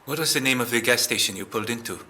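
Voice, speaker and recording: A man's voice: medium pitch, racing, sharp tone. A man playing a composed police interrogator, formal and businesslike, in an audio drama. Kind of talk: naturalistic audio drama acting.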